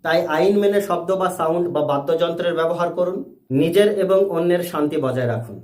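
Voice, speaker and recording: Higher-voiced man narrating in an echoey room. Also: fast, a little mellow. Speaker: educational video presenter. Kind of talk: scripted explainer narration.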